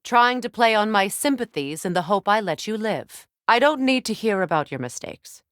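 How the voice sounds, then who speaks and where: A woman's voice: mid-range voice, lively intonation, normal speed, fairly bright tone, no echo, studio quality, natural voice. A woman acting a proud, bitter exiled warrior goddess and grieving mother in a video game, with a stern, curt, imperious manner.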